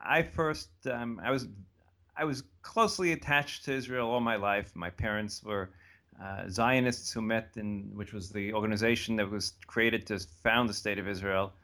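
Older guy from the states, lowish voice, talks things through slow and meandering. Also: fairly even intonation, pushed and bright. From United States. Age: about 70. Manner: thoughtful, meandering with restarts. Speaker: interview guest. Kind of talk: casual conversational interview.

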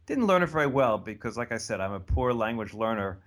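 An American man around seventy, answering interview questions in a relaxed, thoughtful way that wanders and restarts. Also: mid-range voice, brisk. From United States. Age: about 70. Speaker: interview guest. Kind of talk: casual conversational interview.

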